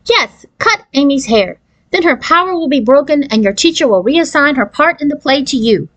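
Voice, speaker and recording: A woman's voice: sits high, animated, moderate pace, fairly bright tone, voice pushed up. A woman voicing an anxious, self-important fairy godmother who talks in pompous, formal officialese for a storybook. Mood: triumphant. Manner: pompous, formal bureaucratic wording.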